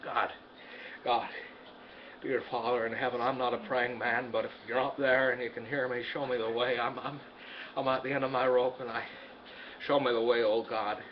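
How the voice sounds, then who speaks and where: A man's voice: medium pitch, flat delivery, moderate pace, slight background noise, pitched below the performer's own voice, natural voice. Brief: man playing a stammering, harried dad, slightly muffled recording. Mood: despairing. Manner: stammering, repeating words. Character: desperate, frazzled middle-aged family man.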